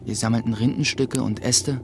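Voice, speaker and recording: A man playing an earnest young sorcerer's apprentice who narrates his own story in a measured way for a radio play. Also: mid-range voice, fairly even intonation, creaky, very noisy, natural voice. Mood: narrating, measured. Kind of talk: scripted first-person narration in German radio play. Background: music.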